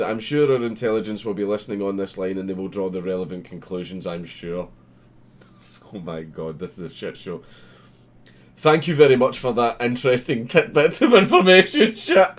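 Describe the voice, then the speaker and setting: Sweary, amused man commenting on news. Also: mid-range voice. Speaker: host. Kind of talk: casual commentary reading a news article aloud.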